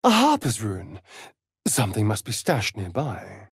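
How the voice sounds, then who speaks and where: A vain, sardonic vampire rogue from a fantasy video game. His voice is breathy and swoops widely in pitch. He drawls his words slowly, with arch, theatrical flair. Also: wildly swooping pitch, no echo, studio quality, full character voice. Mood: intrigued.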